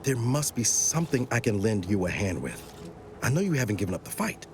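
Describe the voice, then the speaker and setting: An actor playing a loyal uniformed officer in a video game, earnest and assured. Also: male voice, fairly low pitch, big pitch swings, fairly quick, slight background noise. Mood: earnest, determined. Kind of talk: dramatic video game dialogue.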